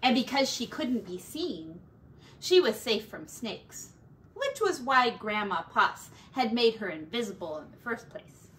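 Animated, high-voiced woman reading a storybook. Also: a little slow. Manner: expressive, dramatic emphasis with asides to listeners. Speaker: teacher reading aloud. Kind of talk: storybook read-aloud for young children. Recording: slightly distant mic, faint background noise.

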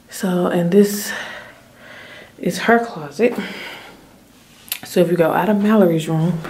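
A woman's voice: low-pitched, darkish tone, a little hiss or hum. A woman in her thirties giving a casual, chatty tour of an empty house, talking to viewers on a handheld phone. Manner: chatty, relieved, addresses viewers as guys and y'all. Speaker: vlogger. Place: empty house, rooms and basement. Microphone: handheld phone camera.

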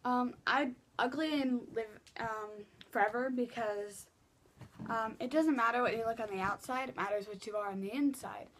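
Teen girl vlogger, high-ish voice, rambling casual delivery, laptop mic with faint hiss. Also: normal speed, fairly bright tone. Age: teens. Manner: chatty, rambling with many fillers and restarts. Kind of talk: casual Q and A vlog. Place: small bedroom, indoors. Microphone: laptop webcam mic.

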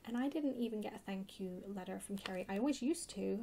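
A soft-spoken woman chatting casually in a rambling way for an ASMR haul video. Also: mid-range voice, fast talker. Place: indoors at a table, hands-only camera. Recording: clear background hiss or noise.

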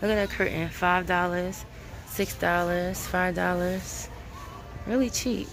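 A woman's voice: fairly low pitch, steady tone, measured pace. A woman from Brooklyn with a New York accent, chatting to viewers as she films a walk through supermarket aisles. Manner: chatty, repeats herself, addresses viewers as guys. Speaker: vlogger. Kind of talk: casual walkthrough shopping vlog. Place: large supermarket aisles, indoors.

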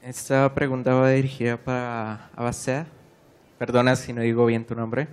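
Smooth-voiced man asking from the audience. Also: medium pitch, flat delivery, moderate pace. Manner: polite, hesitant. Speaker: audience member asking a question. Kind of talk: question from the floor.

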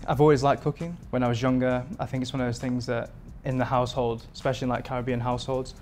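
A man's voice: medium pitch, fast talker. Male chef, 30s, from England; easygoing, level delivery, lapel mic in a kitchen, light music bed. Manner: relaxed, rambling with many fillers. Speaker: performance chef. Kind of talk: interview answers. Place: modern home kitchen indoors.

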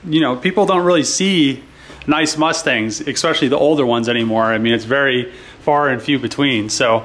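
A man's voice: mid-range voice, normal speed, fairly bright tone. Easygoing man in his thirties, vlogging. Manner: easygoing, conversational, with fillers. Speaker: YouTube host. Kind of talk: casual vlog talk. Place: car garage, then indoors at home.

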